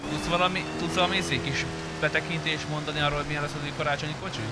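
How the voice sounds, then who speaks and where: Higher-voiced man over roaring car engine noise. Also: little pitch movement, vocal fry. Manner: slangy, swearing. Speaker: roleplay game player and streamer. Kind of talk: casual gaming chat.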